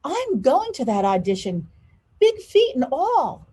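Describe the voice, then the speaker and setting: A woman's voice: sits high, sing-song, unhurried, dark tone. A woman reading aloud a sassy, sensitive but defiant girl from a children's story.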